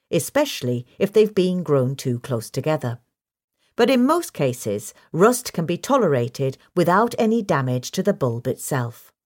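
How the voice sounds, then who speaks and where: A woman reading a scripted question-and-answer guide in a measured, instructional manner. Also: sits low, a little mellow. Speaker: reader of a magazine article.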